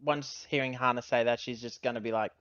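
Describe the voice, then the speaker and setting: A woman's voice: a bass voice, fairly even intonation, fairly quick, a bit bright, no room sound, muffled recording. A woman playing a tabletop game, casually narrating what her character does and chatting with the table.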